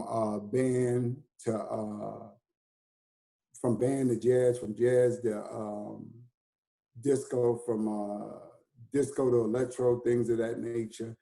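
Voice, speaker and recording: An American man in his fifties talks through his memories in an easy, unhurried way. His voice is mellow and warm, and he laughs easily. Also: medium pitch, creaky, low-quality recording. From United States. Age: 50s. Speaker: DJ and hip house artist. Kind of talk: casual conversation.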